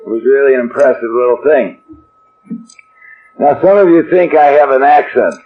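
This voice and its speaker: A man's voice: medium pitch, moderate pace. A man from Chicago giving a joking, rambling talk at a recovery workshop to a live audience. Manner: wry, rambling, self-deprecating. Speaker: AA workshop speaker. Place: meeting room before an audience. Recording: dull lo-fi archive tape with faint hiss.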